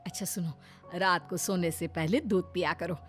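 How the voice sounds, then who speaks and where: A woman's voice: on the low side, fairly even intonation, moderate pace, fairly bright tone, pitched below the performer's own voice, lightly acted. A fussing middle-aged mother-in-law from a cartoon story, voiced by a woman, doting and a little possessive. Faint music plays behind.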